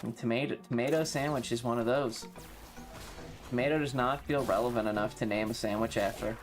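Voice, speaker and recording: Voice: medium pitch, fairly even intonation, a little fast, faint music behind. An American game streamer giving casual live commentary, swearing often, exclaiming and repeating phrases. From North Carolina, US.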